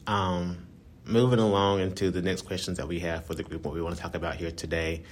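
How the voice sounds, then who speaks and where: Low-pitched American man hosting a podcast. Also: little pitch movement, brisk. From United States. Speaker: podcast host. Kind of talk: moderated casual discussion.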